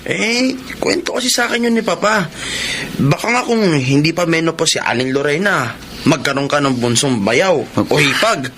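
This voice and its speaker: A man's voice: fairly high pitch, animated, moderate pace, noisy background, lightly acted. An actor playing a crude, hot-headed man who taunts and goads in a radio drama. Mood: mocking. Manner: mocking, goading. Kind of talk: Tagalog radio drama acting.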